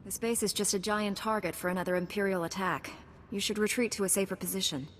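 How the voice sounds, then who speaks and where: Casting: actress as self-assured warrior knight for a sci-fi game, calm, blunt, mid-pitched, ringing hall acoustic. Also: female voice, fast, lightly acted. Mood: composed, blunt. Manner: calm, matter-of-fact, assured. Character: composed, blunt female Jedi knight. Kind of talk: scripted video game cutscene acting. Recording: long reverberant hall, faint background rumble.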